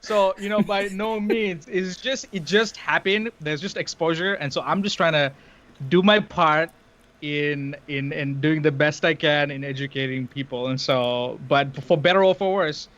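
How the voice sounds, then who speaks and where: A man's voice: on the high side, fairly bright tone. A man from Sri Lanka whose first language is Tamil, chatting casually on a livestream, laughing often and restarting his sentences. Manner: laughing, halting, many restarts. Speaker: guest. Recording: dull lo-fi stream audio.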